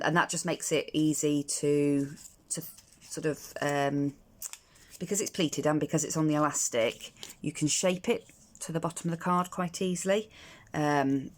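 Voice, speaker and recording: A middle-aged woman with a croaky voice, chatting casually through a crafting tutorial at home, hesitant and full of ums and restarts. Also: low voice. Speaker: crafter demonstrating card making.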